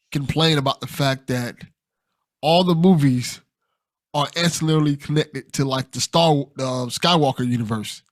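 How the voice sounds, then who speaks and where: A man's voice: a little high, fairly bright tone. A man hosting a podcast, talking in a casual, conversational style. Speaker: podcast host.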